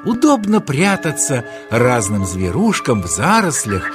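A man narrating a children's story as storyteller, with expressive, dramatic delivery. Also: mid-range voice, unhurried, music in the background.

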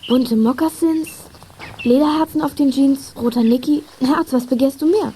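Woman doing a plucky girl detective, radio play, high mellow voice, talks quick and earnest, bit of noise behind. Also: lightly acted. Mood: confident. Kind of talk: 1980s German children's radio play acting.

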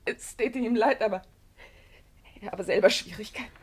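An actress playing a proud, bitter and volatile young gentlewoman in a German radio drama, with emotionally charged theatrical delivery. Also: on the high side, normal speed, breathy edge. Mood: tense, scornful.

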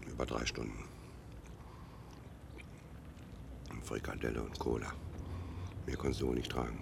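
An actor playing a shabby, pedantic private detective in a radio drama, dry and officious, evasive and grumbling. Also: male voice, very deep voice, level tone, fairly quick, creaky, background noise, full character voice. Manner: dry, officious, stilted phrasing. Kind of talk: German radio drama acting.

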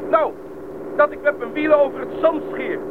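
Radio drama: practical, fearless small-plane pilot, strikingly high male voice, thin band-limited sound. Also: fairly even intonation, moderate pace. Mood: calm, instructive. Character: confident, practical bush pilot, adult man, eager and unafraid. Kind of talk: Dutch radio play acting. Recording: tinny band-limited radio recording, loud engine noise.

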